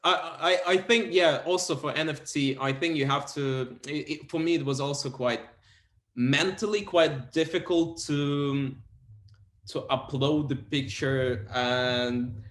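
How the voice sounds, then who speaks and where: A man from Kazakhstan, a Russian and Kazakh speaker, talking English in a halting, hesitant way as a guest in a casual interview. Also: a little high, fairly bright tone. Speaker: interview guest, film photographer. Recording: roomy echo.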